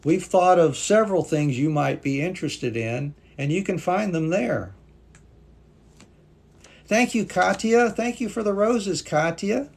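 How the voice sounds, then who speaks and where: A man's voice: high voice, a little slow, vocal fry, slightly rough. A native English-speaking man teaching English on a live stream, patiently reading viewer comments aloud and thanking them. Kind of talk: live-stream language class chat.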